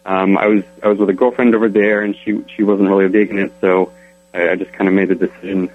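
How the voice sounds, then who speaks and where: Fast-talking, low-voiced young guest. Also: male voice, level tone, darkish tone, poor audio. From Colorado. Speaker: interview guest. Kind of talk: casual interview answers.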